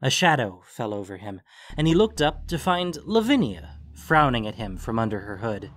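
A man's voice: mid-range voice, very animated, moderate pace, a bit bright. A man reading scripted fantasy fiction as an audiobook narrator. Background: music.

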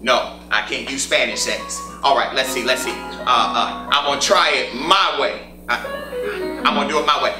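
A man's voice: medium pitch, normal speed, natural voice. An American man playing a pompous, fervent church reverend in a gospel stage play, with preacherly delivery that slides into singsong. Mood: muttering, self-assured. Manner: preacherly, breaks into singsong cries. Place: indoor stage before an audience. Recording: echoey theater stage with music.

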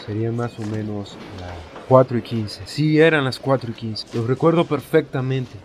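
A man's voice: medium pitch, normal speed, slight background noise, close to an everyday voice. A man with a Latin American accent playing an anxious, guilt-ridden father in a video game dub. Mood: anxious, subdued. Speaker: fan dub voice actor.

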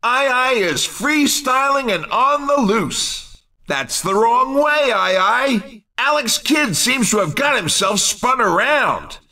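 Casting: male game race announcer, bright forceful high voice, theatrical and jokey, drawn-out delivery. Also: animated, far from the mic. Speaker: race commentator in a video game. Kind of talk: excited cartoon racing game commentary.

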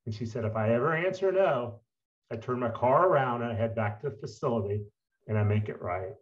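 A man's voice: mid-range voice, animated, brisk. Middle-aged man, dull call audio. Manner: heartfelt storytelling. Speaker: guest. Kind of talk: interview on video call. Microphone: video call.

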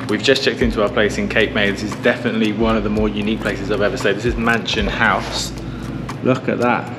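Man, 40s, travel vlog host, casual and chatty on the go, loud music bed. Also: on the low side, little pitch movement, fairly quick. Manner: upbeat and chatty, uses British words like "proper" and "reckon". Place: outdoors at beaches, boats, streets and restaurants. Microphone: handheld camera at arm's length. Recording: music playing loudly over the voice.

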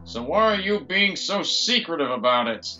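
A man's voice: a little high, sing-song, normal speed, sharp tone, lo-fi sound, faint music behind, heavily acted. A man playing a folksy, wary adventurer in an audio drama. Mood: wary, indignant.

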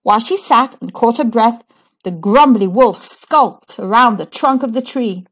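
A woman reading a children's story aloud with dramatic, deliberate, expressive storytelling. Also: a little high. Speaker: storybook reader.

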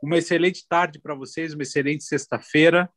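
A man's voice: on the high side, fairly even intonation, brisk, a bit bright, dry recording, clean studio sound. Man giving an upbeat send-off. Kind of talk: closing remarks on a video call. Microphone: video call.

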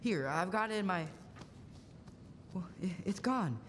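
A man's voice: high voice, animated, unhurried, fairly bright tone, slight background noise. A young man playing a frantic, bewildered family man who stammers, in a live radio play. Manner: agitated, stammering. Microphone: stand microphone on stage. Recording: reverberant school auditorium stage.

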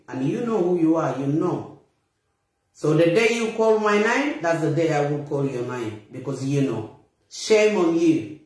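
A woman's voice: low voice, a little mellow. A middle-aged woman with a Bissau-Guinean accent, delivering a blunt, indignant and emphatic confrontational monologue to a phone camera in a small room. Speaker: vlogger. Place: small bare room indoors. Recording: very echoey room.

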